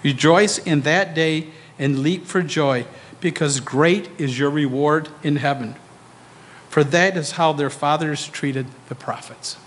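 A man's voice: a little high, measured pace. A man in his sixties reading scripture aloud at a church service. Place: church sanctuary, indoors. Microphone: microphone on a stand. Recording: echoey church hall reverb.

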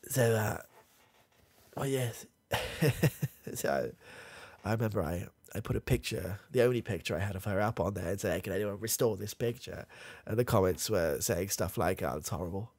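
A man's voice: on the low side, moderate pace, breathy edge, no room sound. A young man with a British accent playing a muddled, proud old grandad who can't handle technology, rambling and hesitant in a close-miked comedy ASMR roleplay. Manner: rambling, hesitant, full of fillers and restarts. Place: small dim room with coloured lights. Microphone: close mic, face near camera.

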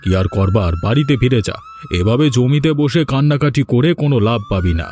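A man plays a well-off village gentleman in a cartoon. The delivery is matter-of-fact and a little condescending, at an ordinary pitch, with music underneath. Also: expressive, brisk, close to an everyday voice. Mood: condescending, calm. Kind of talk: Bengali cartoon voice acting.

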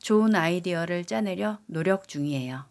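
Brisk Korean woman reading drill sentences. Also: on the low side, animated, darkish tone. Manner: reads Korean prompts quickly, English lines slower and clearly. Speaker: English teacher.

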